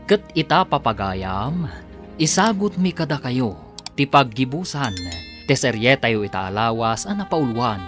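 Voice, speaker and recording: A man's voice: mid-range voice, big pitch swings, a little slow, a bit bright, soft background music. A man with an Ilocano accent announcing a radio drama in a dramatic, scripted style.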